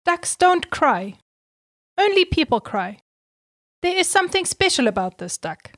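Man doing a high, lively farmer's wife. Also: measured pace, no echo, clean studio sound, full character voice. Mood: urgent. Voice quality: high and animated. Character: kind pleading farmer's wife, woman.

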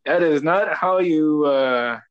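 Young male host, higher voice cracking. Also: takes it slowly, smooth, muffled recording. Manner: rambling, filler-heavy, laughing. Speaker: podcast host. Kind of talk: casual chat.

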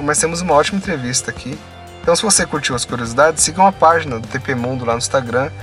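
A man's voice: on the high side, bright, forceful tone. A man with a Brazilian Portuguese accent hosting an interview, upbeat and enthusiastic. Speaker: interview host. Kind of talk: interview podcast intro and questions.

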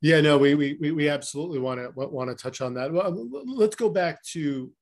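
A man's voice: a little high, fairly quick, a little mellow. A man asking questions casually as the co-host of an interview.

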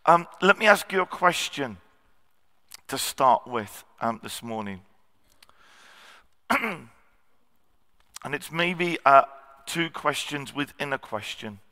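Husky male preacher, bright tone, long hall reverb; self-deprecating, halting delivery. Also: medium pitch, expressive. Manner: self-deprecating, frequent ums and throat clearing. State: slightly husky voice. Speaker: guest preacher. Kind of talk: sermon. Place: church hall.

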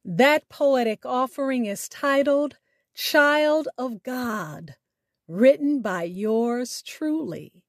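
A woman hosting a radio show, speaking in a deliberate, emphatic way with dramatic pauses, as in scripted spoken word. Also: high-pitched, expressive, no echo, clean studio sound.

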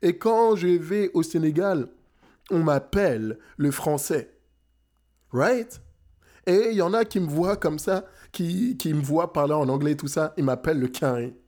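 A man's voice: a little high, expressive, measured pace, a little mellow. A young man from France giving a rousing motivational talk, emphatic and dropping English phrases into his French. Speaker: motivational speaker.

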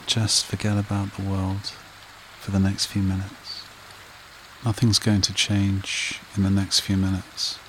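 A man leading a guided meditation and hypnosis in a soothing, repetitive manner with short phrases and long pauses. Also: deep voice, a little hiss or hum, poor audio. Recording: rain and running water behind voice. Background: steady rain and stream sounds.

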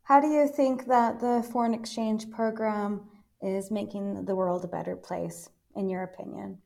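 A woman's voice: medium pitch, steady tone, normal speed, creaky, dark tone. A woman hosting a podcast, asking orderly questions in a friendly way and closing with a scripted sign-off. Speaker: podcast host. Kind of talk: interviewer.

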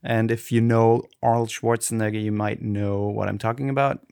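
A man's voice: lowish voice, level tone, moderate pace, creaky, darkish tone, smooth, no room sound, clean studio sound. A man from Austria with an Austrian German accent, co-hosting a casual podcast chat and often restarting as he searches for words. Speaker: podcast co-host.

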